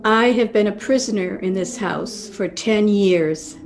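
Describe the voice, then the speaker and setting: An actress playing a murderer's vengeful, long-imprisoned sister in a radio mystery, grave and dramatic, recorded on a home call. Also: female voice, mid-range voice, measured pace, a little hiss or hum, lightly acted. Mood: bitter.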